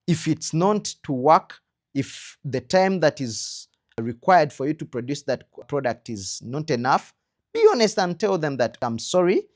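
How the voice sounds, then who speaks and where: A man's voice: a little high, big pitch swings. Ugandan man giving earnest instruction. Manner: earnest advising with rhetorical questions and emphatic pauses. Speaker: trainer in creative production quality control. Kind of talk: instructional talk.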